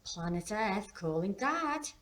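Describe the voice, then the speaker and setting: Casting: woman as a fifteen-year-old girl in a dramatised novel, raised pitch, questioning, teasing and worried. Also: very animated, fast. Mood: teasing concern. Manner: exclamatory, questioning, informal.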